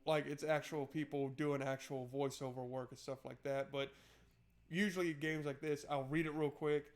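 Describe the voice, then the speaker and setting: A man's voice: fairly high pitch, level tone, moderate pace. A man doing casual, joking playthrough commentary and reading game narration aloud in an informal way. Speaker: game streamer.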